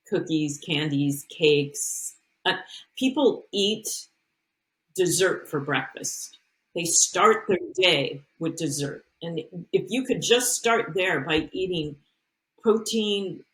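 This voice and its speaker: A woman's voice: on the low side, a bit bright, poor audio. A woman health coach, a guest on an interview show, giving earnest and emphatic advice in a casual way with frequent restarts.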